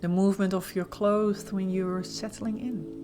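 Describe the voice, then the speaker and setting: A woman leading a guided meditation as an instructor, giving gentle, soothing, softly spoken instructions with pauses. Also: mid-range voice, level tone, music in the background.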